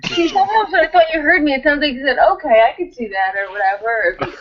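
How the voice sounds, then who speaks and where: A woman's voice: high-pitched, lively intonation, fast talker. Rambling woman, dull lo-fi sound. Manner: rambling, full of "like" and "um". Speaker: cast member of an audio drama. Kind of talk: casual chat.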